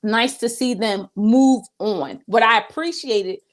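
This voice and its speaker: A woman's voice: on the high side, slow pace. A woman co-hosting a casual review show, talking bluntly and profanely with mock-outraged asides. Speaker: podcast co-host. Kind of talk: casual reality TV review chat.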